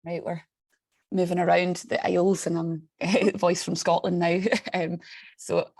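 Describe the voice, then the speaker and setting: A woman's voice: lowish voice, flat delivery, unhurried, darkish tone, no echo, studio quality. A woman speaking on a video call in a chatty, enthusiastic way. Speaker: staff member of an international alliance. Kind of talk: online meeting contribution.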